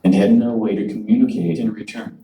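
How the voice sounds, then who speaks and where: A computer-generated man's voice giving a prepared conference speech in a measured, formal way. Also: medium pitch, gravelly, reverberant, recorded from a distance, poor audio. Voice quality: synthetic, even device voice. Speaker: conference speaker.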